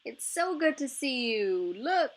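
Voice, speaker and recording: A woman's voice: sits high, big pitch swings, takes it slowly, pitched above the performer's own voice, full character voice. Woman voicing a hearty, cautious soccer coach man. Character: Mister Ramos, friendly but cautious male soccer organizer.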